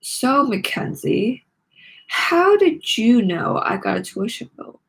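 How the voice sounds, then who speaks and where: A woman's voice: a little high, big pitch swings, takes it slowly, creaky, slightly rough, pitched above the performer's own voice, full character voice, low-quality recording. A woman voicing an earnest, emotional schoolgirl heroine from a storybook. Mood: suspicious. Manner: earnest, dramatic.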